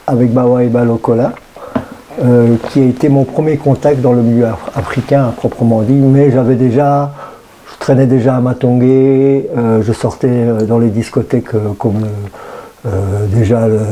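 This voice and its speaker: A man's voice: medium pitch, warm tone. A man around sixty with a Brussels Belgian French accent, a radio guest talking casually with many hesitations and fillers.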